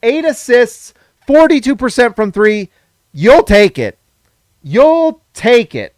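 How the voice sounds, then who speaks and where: A man hosting a casual basketball reaction, excitable and emphatic, repeating lines and correcting himself, slightly hoarse. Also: very high-pitched, slow, no room sound, studio quality. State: losing his voice. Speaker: sports channel host.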